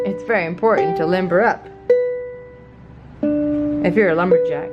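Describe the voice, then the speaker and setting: A woman reading a picture book aloud to a child in a playful, expressive way, with encouraging asides. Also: lowish voice, slow pace, lo-fi sound. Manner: playful, expressive delivery of story words. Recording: ukulele plucking loudly behind the voice. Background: loud ukulele music.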